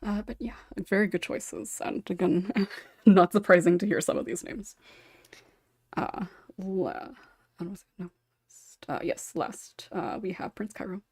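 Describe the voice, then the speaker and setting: A woman's voice: medium pitch, a little slow, a little mellow, husky, no echo, studio quality. A woman hosting a podcast in a casual, chatty way, often giggling as she talks. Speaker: podcast host.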